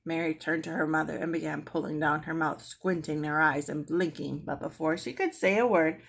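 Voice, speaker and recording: A woman reading a children's book aloud as an expressive storyteller. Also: sits low, moderate pace, gravelly. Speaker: storybook reader.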